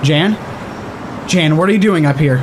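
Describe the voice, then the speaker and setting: A man's voice: fairly high pitch, normal speed, noisy background, lightly acted. An actor playing an anxious, protective male friend in a horror audio drama, speaking in an urgent, coaxing, emotional way. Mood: pleading. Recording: music behind the voice.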